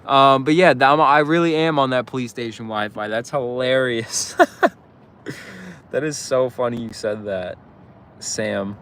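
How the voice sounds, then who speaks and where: A man's voice: mid-range voice, a little slow, a bit bright, a little hiss or hum. A young man with a slight cold hosts a casual live stream outdoors on his phone, rambling and laughing easily with viewers. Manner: laid-back, rambling, full of "like" and "bro", laughs easily. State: has a slight cold, sniffly. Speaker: YouTuber hosting a live stream. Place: outdoors, across the street from a police station.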